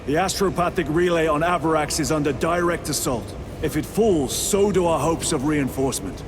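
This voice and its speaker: An actor playing a stern space marine lieutenant in a video game, briefing a squad in formal, solemn military speech. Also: male voice, a little high, normal speed, background noise, lightly acted. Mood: solemn, determined.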